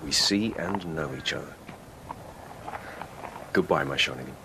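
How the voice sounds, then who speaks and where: An actor playing an earnest, tender lieutenant in love in a radio drama, speaking gravely in few words. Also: male voice, a little slow, background noise, full character voice. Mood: tender, resigned. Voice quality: very deep.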